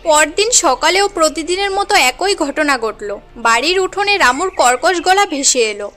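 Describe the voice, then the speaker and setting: A woman's voice: high-pitched, lively intonation, normal speed, a bit bright, soft background music. A woman narrating a scripted cartoon story as a dramatic storyteller.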